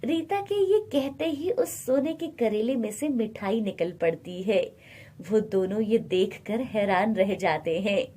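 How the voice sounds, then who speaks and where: A woman's voice: mid-range voice, lively intonation, a little slow, warm tone, husky. A woman storyteller reading a scripted moral bedtime story.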